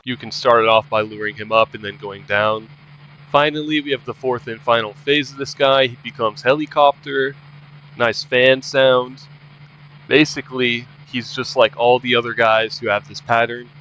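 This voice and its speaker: A man's voice: medium pitch, steady tone, normal speed, a bit bright, slight background noise, rough recording. A man giving casual walkthrough commentary for a video game. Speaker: game guide narrator.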